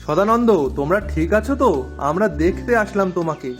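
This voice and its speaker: A man voicing an authoritative but obliging village headman in an animated folk tale, with a husky voice. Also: sits high, fairly quick, over music. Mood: kindly.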